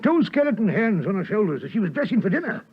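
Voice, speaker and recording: Posh fussy english lord, husky highish voice, very apologetic, muffled old radio play. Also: male voice, sing-song, fast, exaggerated voice. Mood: apologetic, grave. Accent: upper-class English. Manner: polite, hesitant, fussy. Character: courteous, apologetic English aristocrat. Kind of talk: 1950s radio comedy acting. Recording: muffled vintage radio recording.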